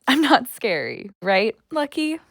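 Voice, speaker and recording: A woman's voice: fairly high pitch, big pitch swings, slow, creaky, fairly bright tone, dry recording, clean studio sound, exaggerated voice. A woman playing a scatty, friendly, tipsy woman in an audio play, loose and slightly muddled in manner. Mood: friendly, flustered. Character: scatty, friendly, tipsy woman wandering home after a night out.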